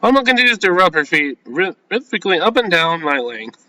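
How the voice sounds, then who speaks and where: A bright-voiced man reads a visual novel aloud as a gaming host. His pitch sits a little high and moves around a lot. He often stumbles and breaks off into flustered, casual asides. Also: very animated, poor audio. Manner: flustered, halting, self-censoring with casual slang.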